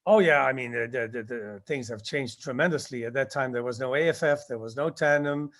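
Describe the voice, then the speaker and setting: A man in his sixties, born in Indonesia, answering interview questions about his past and recalling it haltingly with many fillers. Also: medium pitch, fairly bright tone. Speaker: guest, skydiving instructor.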